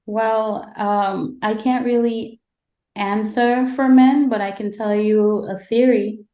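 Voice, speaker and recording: Measured woman guest in an echoey room. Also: on the high side, steady tone, muffled recording. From Guyana. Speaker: podcast guest, herself a podcaster. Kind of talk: conversational interview answers.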